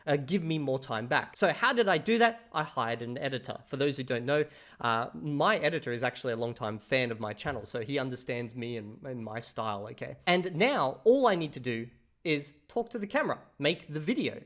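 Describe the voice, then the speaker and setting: A man's voice: medium pitch, lively intonation, fairly quick, fairly bright tone. A man, talking casually to camera about his routine, swearing freely and saying "bro" and "okay?" often. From Australia. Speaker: language-learning YouTuber.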